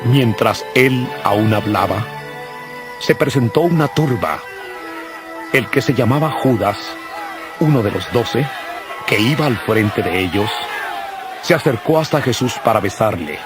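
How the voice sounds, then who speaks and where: Male narrator, scripted scripture reading, solemn, measured, mid pitch, clear background music. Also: animated.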